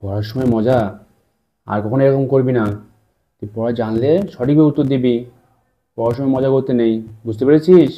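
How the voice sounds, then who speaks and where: A man's voice: mid-range voice, steady tone, fairly quick, pauses often, lightly acted. Man playing a fatherly village tutor, muffled sound. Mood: scolding. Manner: instructive, parental. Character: village father, firm but praising teacher. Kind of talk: children's puppet story dialogue.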